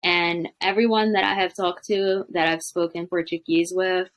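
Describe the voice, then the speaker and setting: A woman's voice: fairly low pitch, level tone, normal speed. A young woman, a language coach, chatting casually on a video call from a home room, with lots of fillers. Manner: chatty, many fillers, laughs often. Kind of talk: casual interview chat.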